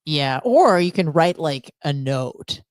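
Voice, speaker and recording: A woman's voice: low-pitched, lively intonation, takes it slowly, no room sound, clean studio sound. A woman co-hosting a radio show, chatting casually and giving blunt, gossipy opinions with plenty of swearing.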